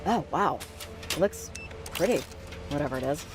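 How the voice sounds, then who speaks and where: A woman's voice: low voice, lively intonation, moderate pace, noisy background. An actress playing a dry, sarcastic, laid-back woman in a video game, speaking in an understated, casual way. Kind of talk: naturalistic video game dialogue.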